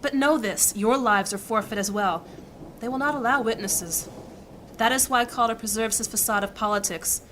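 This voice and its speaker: A woman playing a bright-voiced commanding mage lady. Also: on the high side, normal speed, slight background noise. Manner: authoritative, clipped commands. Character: stern high-ranking woman mage, commanding. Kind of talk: fantasy audio drama acting.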